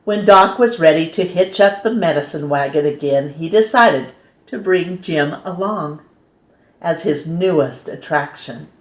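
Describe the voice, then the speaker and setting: A woman reads a children's story aloud as a storytime host, in an expressive, measured way that dramatizes key words. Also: low voice. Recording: roomy, echoing sound.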